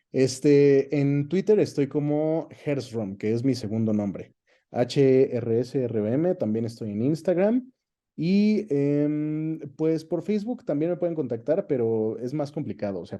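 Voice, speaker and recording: A Mexican man with a soft, mellow timbre and an average pitch speaks as an interview guest. He tells anecdotes casually and expressively, acting out bits of dialogue as he goes. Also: measured pace. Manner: animated anecdote-telling, acts out quoted dialogue. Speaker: guest, voice actor and dubbing director.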